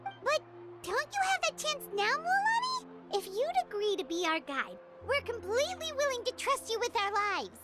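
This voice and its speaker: A woman voicing a tiny, excitable, childlike fairy companion in a video game, squeaky and cheeky, speaking of herself in the third person. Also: moderate pace, over loud music, heavily acted. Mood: encouraging. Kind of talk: video game character acting.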